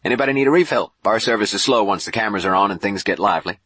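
A man voicing a brash, jovial bishop in an audiobook, a folksy, booming hard-sell showman. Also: very fast, pushed and bright, slightly rough, no echo. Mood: hearty, preachy. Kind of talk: audiobook character voice.

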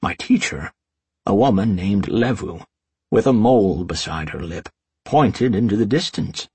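A man voicing a frail old wizard master in a fantasy story, commanding and wistful, with an emphatic, measured delivery. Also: on the low side, expressive, a little mellow, breathy edge, no echo, heavily acted. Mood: reminiscing. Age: older. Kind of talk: storybook character dialogue.

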